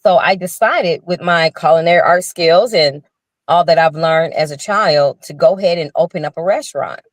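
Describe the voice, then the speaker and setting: A woman's voice: deep voice, normal speed, dry recording. An older woman and restaurant owner, a guest telling her story in an earnest, casual way with frequent restarts over a video call. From Chicago. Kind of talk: casual interview talk.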